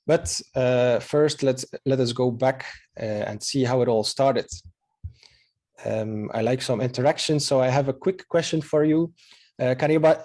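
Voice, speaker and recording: A man's voice: medium pitch, a little mellow. A man in his thirties from Belgium, a native Dutch speaker with a Belgian Dutch accent, giving an online presentation as a photographer in a hesitant, earnest way with many filler sounds. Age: 30s.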